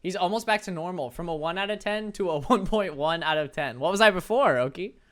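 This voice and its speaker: A young man whose voice sits light and high, talking casually to his viewers over a desk mic. He jokes at his own expense and laughs easily between comments. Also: a little fast, a bit bright. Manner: playful, self-mocking, laughs often. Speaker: streamer. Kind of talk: casual chat reading viewer messages while gaming.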